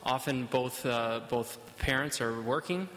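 A man interpreting a sermon live, halting often with fillers as he searches for words. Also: mid-range voice, a bit bright, big-room sound, low-quality recording. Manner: hesitant, halting, many fillers.

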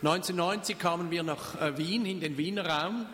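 An older man preaches in a high-pitched voice. He delivers his sermon in short phrases and pauses so an interpreter can follow. The long reverb of a church hall trails behind every line. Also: a bit bright. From Switzerland. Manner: speaks in short phrases, pausing for translation. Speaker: pastor.

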